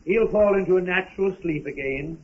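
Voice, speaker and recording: Man playing a high-voiced fatherly older gentleman. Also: brisk, lightly acted. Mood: gentle concern. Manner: formal, gently hesitant. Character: kindly, fatherly older family friend, proper and cautious. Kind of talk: 1930s radio acting. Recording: thin, phone-like, hissy 1930s radio recording.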